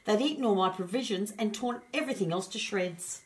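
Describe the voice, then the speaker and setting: Woman voicing a squeaky, quavering little hedgehog boy. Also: fairly quick, slight background noise, close to an everyday voice. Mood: sad, shaken. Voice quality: wobbly, quavering. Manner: stammering, pleading. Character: timid, frightened little male hedgehog, sweet and eager.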